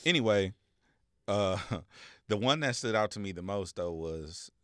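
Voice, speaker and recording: A man's voice: lowish voice, animated, unhurried, a bit bright, no room sound, studio quality. An American man co-hosting a talk show, giving casual, reflective commentary in a hedging, conversational way.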